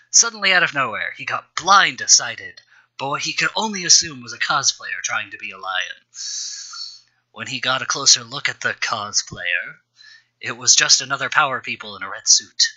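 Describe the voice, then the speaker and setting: Bright, forceful man reading aloud. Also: medium pitch, animated, moderate pace, dull audio. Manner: wry, self-deprecating. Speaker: host reading his own old fan fiction. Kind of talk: storytelling read-aloud with mocking asides.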